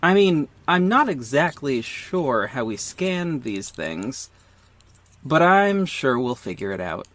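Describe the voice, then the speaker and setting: A man's voice: medium pitch, big pitch swings, slow, a bit bright. A man playing an earnest young vigilante private investigator in an audio drama. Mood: casual, wry. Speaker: narrator and lead actor. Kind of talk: first-person audio drama narration and dialogue.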